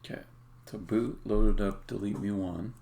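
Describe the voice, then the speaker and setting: A man doing casual live-coding commentary, thinking aloud in a halting mutter as a game developer on a stream. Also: lowish voice, steady tone, warm tone. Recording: faint noise, keyboard typing and clicks. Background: computer keyboard typing and clicking.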